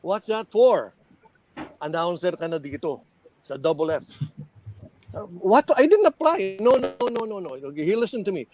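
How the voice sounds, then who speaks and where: An older Filipino man with a high-pitched voice tells stories casually. He hesitates and circles back over his phrases, mixing English and Tagalog as he goes. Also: lively intonation, normal speed, pauses often, darkish tone, faint music behind, poor audio. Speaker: veteran radio personality and guest. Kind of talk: casual reminiscing, storytelling.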